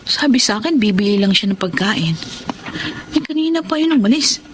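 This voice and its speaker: An actress playing a jealous, betrayed wife in a radio drama, sarcastic and confrontational. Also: female voice, medium pitch, lively intonation, moderate pace, background noise, lightly acted. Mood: worried, annoyed. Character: jealous, combative betrayed wife confronting her husband's mistress. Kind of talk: Tagalog radio drama acting.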